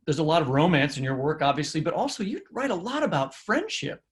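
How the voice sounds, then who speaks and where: A man co-hosting a literary podcast, chatting in a halting way and repeating words as he forms his thoughts. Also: a little high, very animated. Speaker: podcast co-host. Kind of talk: conversational literary interview.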